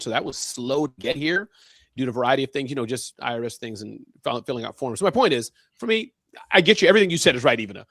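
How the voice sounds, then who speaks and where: A man's voice: medium pitch, fairly bright tone, no echo. A man in his fifties co-hosting a casual online panel chat, joking and playfully contrarian. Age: 50s. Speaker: co-host.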